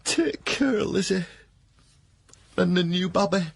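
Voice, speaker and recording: A man's voice: high-pitched, unhurried, vocal fry, breathy edge, dry recording, exaggerated voice. An actor playing a hot-headed working-class man with a Northern English accent, blunt and protesting, in a radio drama. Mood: anguished, vengeful.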